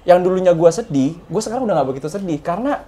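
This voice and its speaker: A man's voice: high-pitched, expressive, fast talker, warm tone. A young adult man, a guest stand-up comedian, joking in slangy casual banter with mild swearing. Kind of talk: casual podcast chat.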